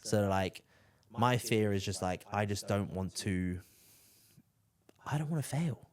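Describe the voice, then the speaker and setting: A man's voice: on the low side, lively intonation, unhurried. A young man from the south of England, chatting on a podcast and trailing off with fillers and tag questions. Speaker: podcast host. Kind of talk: casual podcast chat.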